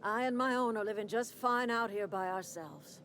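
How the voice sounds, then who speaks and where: A woman's voice: medium pitch, moderate pace, a bit bright, a little hiss or hum, lightly acted. An actress playing a proud, motherly elderly woman who leads a camp in a video game, plain-spoken and folksy. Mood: bitter, defiant. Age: older. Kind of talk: video game character dialogue. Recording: music behind the voice.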